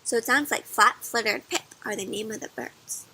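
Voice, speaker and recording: A woman doing an interactive picture-book read-aloud for children, expressive and pausing to ask her young listeners questions in chatty asides. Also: on the high side, slightly rough, poor audio.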